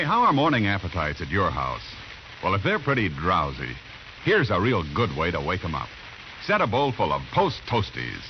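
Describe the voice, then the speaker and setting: A man working as a commercial announcer, delivering a folksy 1950s radio sales pitch. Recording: muffled, noisy old radio recording.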